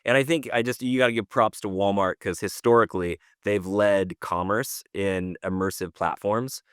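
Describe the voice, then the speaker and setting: Deep voiced guy chatting about news on a podcast, explains stuff eagerly, really dry recording. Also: fairly even intonation, moderate pace, a bit bright, clear, resonant tone, no echo. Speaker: podcast co-host presenting news. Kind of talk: casual news discussion.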